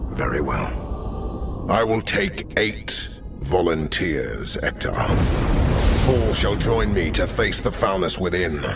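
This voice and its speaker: A man's voice: low voice, expressive, slow pace, exaggerated voice. A man playing a grave, self-sacrificing warrior-monk grandmaster, solemn and stately in a dramatic game cutscene. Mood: grave, resolute. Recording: loud music under the voice.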